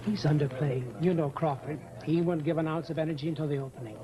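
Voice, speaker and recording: An actor playing an unworried, dismissive theatre colleague, matter-of-fact, in a mid-century suspense drama on a noisy broadcast. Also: male voice, a little high, steady tone, fast, highs cut off, crowd noise, natural voice.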